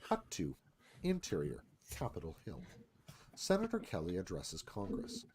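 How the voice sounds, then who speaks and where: A man's voice: low-pitched, huge pitch swings, measured pace, background noise. A man narrating a screenplay, reading out scene headings and stage directions.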